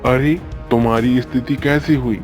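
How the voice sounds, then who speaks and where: Mellow-voiced commanding king, played by a man. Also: medium pitch, steady tone, normal speed, soft background music, natural voice. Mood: demanding, questioning. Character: commanding male king questioning his court in a folk tale. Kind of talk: cartoon story dialogue.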